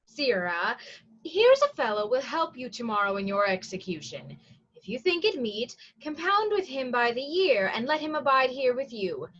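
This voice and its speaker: Dull-sounding, high-voiced commanding prison provost played by a woman. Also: big pitch swings, moderate pace, fairly bright tone, exaggerated voice. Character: brisk, commanding prison provost, stern yet pitying. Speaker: actor in a staged reading. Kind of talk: Shakespearean stage reading.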